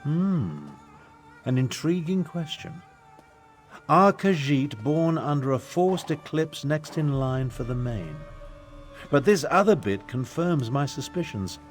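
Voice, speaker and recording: A man's voice: fairly high pitch, lively intonation, slow, faint music behind. A man playing a haughty, curmudgeonly older battle mage in a fantasy game, with dry and pompous delivery. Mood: brisk, grave. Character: crotchety, haughty aging human battle mage. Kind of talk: video game character dialogue.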